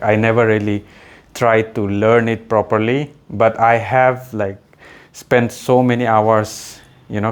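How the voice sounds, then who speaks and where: A man in his thirties from India, a native Adi speaker, talking in a rambling, reflective vlog monologue full of fillers and restarts. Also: on the low side. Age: 30s. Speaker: vlogger.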